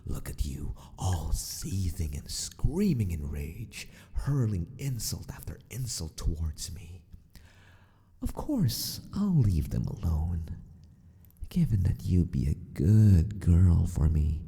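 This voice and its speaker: A man playing a sadistic, possessive mad scientist in an ASMR roleplay, mock-polite and menacing, often sinking into a breathy whisper. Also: low-pitched, big pitch swings, slow, dark tone, full character voice. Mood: gleeful, controlling.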